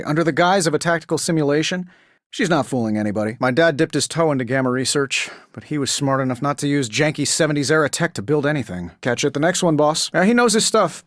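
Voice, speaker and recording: A man's voice: a little high, no room sound, clean studio sound, natural voice. A male actor voicing a cocky, wisecracking billionaire superhero inventor for a video game, with an American accent and a sarcastic, glib delivery. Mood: exasperated, sarcastic.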